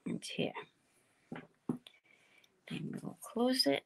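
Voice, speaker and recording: A woman with a quiet voice, chatting and explaining each step as she demonstrates card making at her craft desk. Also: extremely slow, rough recording. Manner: chatty, explaining steps while working.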